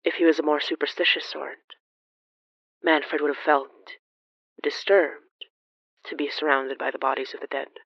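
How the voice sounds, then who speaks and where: A narrator reading scripted horror fiction in a dramatic, deliberate storytelling manner. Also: medium pitch, fairly even intonation, vocal fry, no echo, highs cut off.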